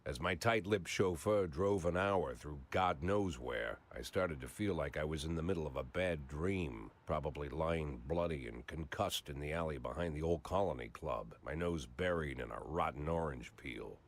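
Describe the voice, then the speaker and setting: Very deep, world-weary cop. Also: male voice, moderate pace, few pauses. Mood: weary narration. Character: weary hard-drinking older male cop, sardonic noir narrator. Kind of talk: scripted noir narration and dialogue.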